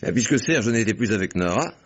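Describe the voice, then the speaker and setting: An actor playing a shrewd, wry police commissioner in an old radio drama, measured and ironic. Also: male voice, lowish voice, expressive. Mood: reasoning. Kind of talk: 1950s French radio drama acting. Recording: dull old radio recording.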